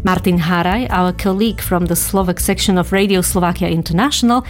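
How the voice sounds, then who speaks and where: A woman's voice: lowish voice, fairly quick, faint music behind. A woman presenting a radio programme with a scripted introduction.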